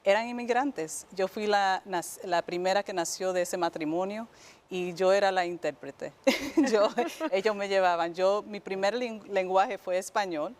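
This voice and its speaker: A woman's voice: medium pitch, a little slow. A woman from New York, answering interview questions conversationally as a guest judge, searching for words and slipping in English fillers. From New York, United States.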